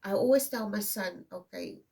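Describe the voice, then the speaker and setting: A woman's voice: mid-range voice, moderate pace, vocal fry, mellow, recorded from a distance. A South African woman in her fifties whose first language is Afrikaans, giving a casual tarot reading in a rambling way and often checking in with "okay?". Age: 50s. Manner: rambling, tags many lines with "okay?". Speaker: tarot reader. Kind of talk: casual intuitive card reading.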